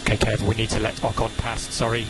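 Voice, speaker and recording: A man working as a race engineer, giving brisk, clipped updates over team radio. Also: lowish voice, completely flat delivery, very noisy. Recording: radio over loud music.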